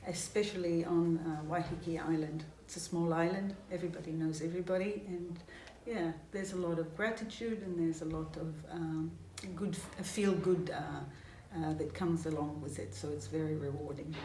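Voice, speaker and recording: A woman, speaking in a relaxed, thoughtful way and often pausing with hesitations as she describes her food rescue work. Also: deep voice, a little hiss or hum. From Israel. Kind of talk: documentary interview. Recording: roomy, distant mic with music.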